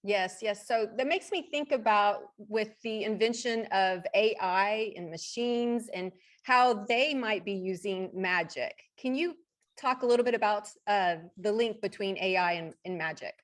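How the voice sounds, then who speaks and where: A woman's voice: medium pitch, measured pace. A woman hosting an interview on her online channel, upbeat, with brief affirmations. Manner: upbeat, reading the guest bio, brief affirmations. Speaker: host.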